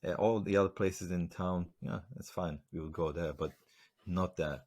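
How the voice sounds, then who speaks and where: A man's voice: low-pitched, steady tone, normal speed, darkish tone. A man from Slovakia, hosting a podcast and telling a story in loose, rambling casual talk full of fillers. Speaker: podcast host. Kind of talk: casual interview chat.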